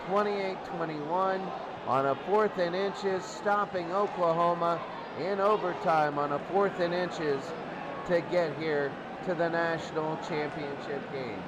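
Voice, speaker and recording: A man giving football pregame commentary, reading out team statistics in a halting, phrase-by-phrase delivery. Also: on the high side. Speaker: sports commentator. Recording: very noisy amateur recording. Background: loud background noise.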